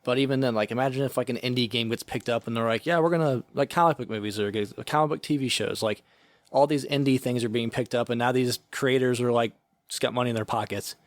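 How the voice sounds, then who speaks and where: A man's voice: medium pitch, fairly even intonation, fast, no room sound. A man co-hosting a podcast in casual chat, wry and loose, dropping "bro" and "man.". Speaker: podcast co-host.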